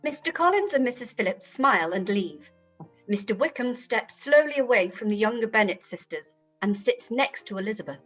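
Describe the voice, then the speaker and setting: A woman's voice: fairly high pitch, expressive, normal speed, a bit bright. A woman narrating scripted stage directions for a radio play, on slightly dull audio with music behind.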